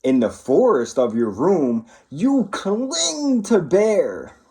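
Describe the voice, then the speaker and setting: A man, a police officer, talking and reading to children in an expressive way, addressing them directly. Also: high-pitched, slow pace, breathy edge. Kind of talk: children's read-aloud and talk to pupils.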